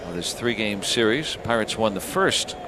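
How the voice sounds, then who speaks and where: A man's voice: lowish voice, expressive, moderate pace, noisy background. A man calling live baseball play-by-play on the radio as the announcer.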